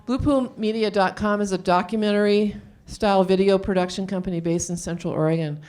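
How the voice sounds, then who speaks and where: A woman hosting a live event, introducing speakers in an informal, chatty way and sometimes haltingly reading from notes. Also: medium pitch, fairly even intonation, a little mellow. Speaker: event host introducing panelists. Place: live event room, on stage. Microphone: handheld microphone.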